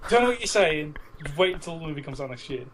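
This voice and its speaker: A man with a fairly high voice chats casually as a podcast co-host. He is blunt and teasing and swears freely. There is light noise behind him in what sounds like a home recording. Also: expressive, fast. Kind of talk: casual banter about films.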